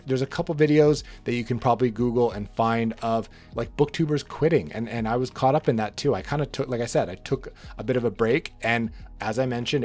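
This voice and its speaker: A slightly hoarse man talks through his thoughts at an easy, rambling clip, circling back and restarting phrases as he goes. His pitch sits in an ordinary middle range. Soft music plays faintly under his voice. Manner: relaxed, rambling, frequent restarts and fillers. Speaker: book channel host. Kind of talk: rambling casual monologue to camera.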